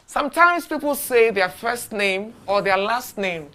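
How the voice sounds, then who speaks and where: A woman teaching a beginner English lesson, speaking deliberately and repeating short phrases for learners to echo. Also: a little high, expressive, pushed and bright.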